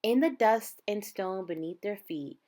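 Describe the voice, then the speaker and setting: A woman reading a children's picture book aloud, with measured, dramatic phrasing and drawn-out words. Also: medium pitch, a little mellow. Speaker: storybook reader.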